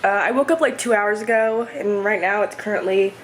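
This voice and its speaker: A woman in her twenties, chatting casually and rambling through a home vlog, her voice hoarse and stuffy. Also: mid-range voice, brisk, slight background noise, poor audio. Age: 20s. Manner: rambling, chatty, many fillers. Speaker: vlogger. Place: home, indoors. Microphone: camera set up nearby.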